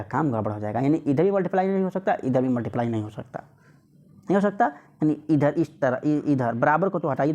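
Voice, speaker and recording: A man's voice: a little high, a little mellow. A man teaching an informal exam lesson, repeating phrases and putting rhetorical questions to students. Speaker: maths exam coach.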